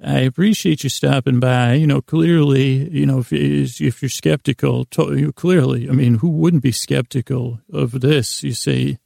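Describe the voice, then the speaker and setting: Gravelly, rambling male bedtime podcast host. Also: mid-range voice, steady tone, mellow, no room sound, studio quality. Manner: meandering, halting, repeats and plays with words; self-deprecating, silly.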